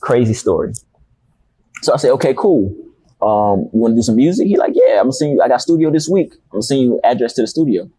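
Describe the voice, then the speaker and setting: Fast-talking young rapper, husky. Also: male voice, mid-range voice, lively intonation, dark tone, dry recording, poor audio. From Miami, Florida. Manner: laid-back, slangy, loose storytelling with profanity. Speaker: rapper guest. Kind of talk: casual interview chat.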